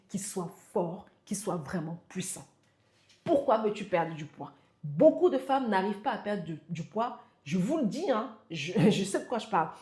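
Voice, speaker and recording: A woman's voice: medium pitch, dark tone, echoey room. A woman in her forties giving self-help advice to camera, insistent, repeating her points and speaking straight to the listener. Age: 40s. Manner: insistent, repeating for emphasis, addresses viewer as tu. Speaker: motivational coach.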